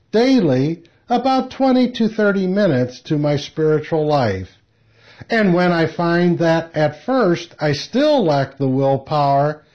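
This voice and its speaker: A man reading a spiritual lecture aloud in a measured, deliberate way. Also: high voice. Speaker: lecture reader. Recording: dull, muffled lo-fi audio.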